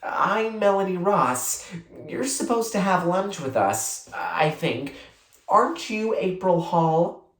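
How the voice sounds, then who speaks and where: Friendly, curious young girl, read by a storyteller, lifted pitch. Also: animated, slow pace. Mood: nervous, friendly. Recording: roomy echo.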